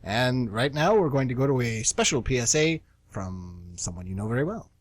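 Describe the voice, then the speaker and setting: A man with a husky voice reading scripted, dramatic story narration for a radio theatre show. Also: medium pitch, animated, normal speed, no echo. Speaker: narrator and co-host.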